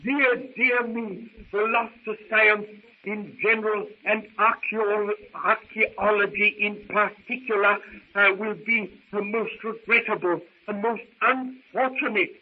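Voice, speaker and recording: An actor playing a fussy, absent-minded elderly professor with hesitant, repetitive, pompous speech in an old-time radio drama. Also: male voice, sits high, fairly even intonation, a little slow. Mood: dismayed. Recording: tinny, hissy vintage radio recording.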